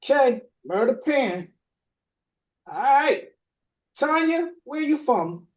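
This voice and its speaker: A man's voice: very high voice, big pitch swings. A man from hosting a podcast, opening with a rhymed spoken-word intro and then questioning his guests. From Boston. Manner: slow, rhythmic spoken-word delivery. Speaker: podcast host.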